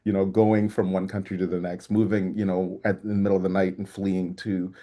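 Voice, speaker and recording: A man's voice: deep voice, little pitch movement, a little fast, mellow. A man raised in East Africa and Canada, a native speaker of a Ugandan language, reflecting casually as an interview guest in circling phrases. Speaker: podcast guest, track coach.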